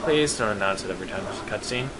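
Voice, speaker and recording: A man's voice: lowish voice, animated, rapid-fire, crowd noise. A man giving casual, incredulous, questioning commentary in group gaming banter. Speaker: let's play commentator.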